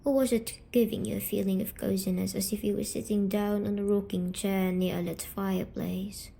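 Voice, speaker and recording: A woman performing scripted ASMR roleplay as a gentle, patient, eerie demon visitor, speaking softly and coaxingly and slipping into whispers. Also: medium pitch, creaky. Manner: soft, slow, coaxing delivery slipping into whispers. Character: gentle, patient, eerie female sleep paralysis demon comforting a dreamer. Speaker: ASMR audio storyteller.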